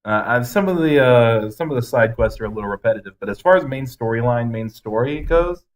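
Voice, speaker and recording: A man's voice: mid-range voice, expressive, fairly quick. A man co-hosting a podcast, giving blunt, emphatic opinions in casual chat with some swearing. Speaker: podcast co-host. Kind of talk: casual ranting movie review chat.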